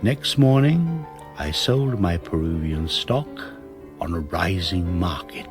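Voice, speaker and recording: A very deep-voiced English murderer, narrates a confession in a radio play over clear music. He is clinical and precise, taking his time, with an arrogant self-satisfaction. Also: animated, slow pace. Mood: smug, detached. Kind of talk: radio drama monologue, confession read as narration.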